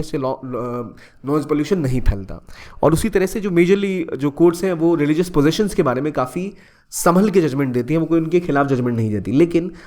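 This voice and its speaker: A young man from India talks students through a current-affairs issue in a relaxed, conversational way, slipping English phrases into his sentences. His voice sits a little higher than most men's. He often stops and restarts a word to correct himself. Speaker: exam-prep educator. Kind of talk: explanatory current-affairs talk.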